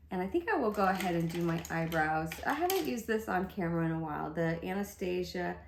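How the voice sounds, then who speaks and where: Chatty congested woman in echoey room. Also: on the low side. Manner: chatty, upbeat, thinking aloud while applying makeup. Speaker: beauty vlogger. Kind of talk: casual makeup tutorial chat.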